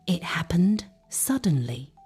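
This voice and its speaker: Woman acting awestruck village mother, slow and tender. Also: fairly low pitch, very animated, a little mellow, breathy edge, soft background music, heavily acted. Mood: awed relief. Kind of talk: folktale storytelling.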